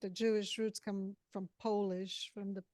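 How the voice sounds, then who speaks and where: A woman from Brazil, Portuguese her first language, hosting an interview over a video call and speaking in halting phrases with frequent restarts. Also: medium pitch, flat delivery, darkish tone, dry recording, studio quality. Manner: halting, many restarts and fillers. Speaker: podcast host. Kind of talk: casual interview chat.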